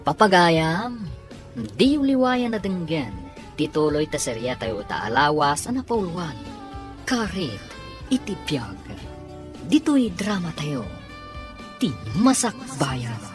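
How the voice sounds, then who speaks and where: A woman's voice: deep voice, sing-song, slow, long pauses. A woman with an Ilocano accent reading dramatic scripted narration as announcer of a radio serial. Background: music.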